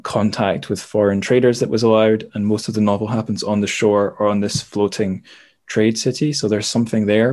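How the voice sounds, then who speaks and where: A man with a fairly low voice hosts a casual book podcast. He talks informally and wanders through his points, with a self-deprecating streak. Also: a little mellow. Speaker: podcast host.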